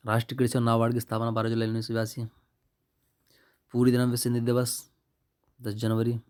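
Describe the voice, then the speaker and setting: A man's voice: lowish voice, darkish tone. A young man, informally going through exam questions and answers as a tutor in an offhand, colloquial manner. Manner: offhand, colloquial, addresses viewers as brother. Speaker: exam-prep YouTube tutor.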